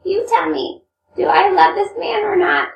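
A woman's voice: extremely high pitch, measured pace, creaky, pitched above the performer's own voice, full character voice. A woman playing an emotional young Russian woman in a storybook reading, pleading with dramatic questions. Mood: torn, pleading. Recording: roomy, distant microphone, noisy hum.

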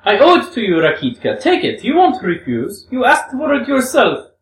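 A man's voice: extremely high pitch, huge pitch swings, moderate pace, voice pushed up, heavily acted. A man voicing a proud, nervous young woman who talks heatedly and accuses herself. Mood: heated, confessing. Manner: heated, hysterical pleading. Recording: echoey room, far from mic.

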